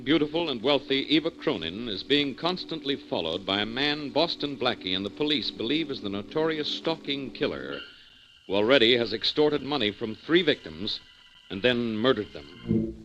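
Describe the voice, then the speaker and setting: Male announcer for vintage radio mystery: formal, dramatic narration at medium pitch, prominent music underneath. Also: poor audio. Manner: formal, theatrical. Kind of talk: dramatic scripted narration, old-time radio.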